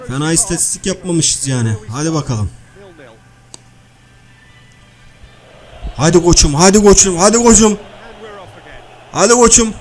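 A man playing a loud, crude, bickering comedy everyman who nags in slangy repeats during cartoon-style video-game banter. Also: high voice. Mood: bossy, heckling. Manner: slangy, blustering, nagging, repeats phrases. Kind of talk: comic video-game banter and match commentary.

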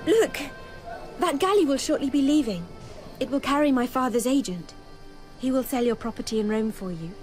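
An actress playing a gentle, anxious young woman in a radio drama, tender and earnest. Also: high voice, expressive, normal speed, soft background music.

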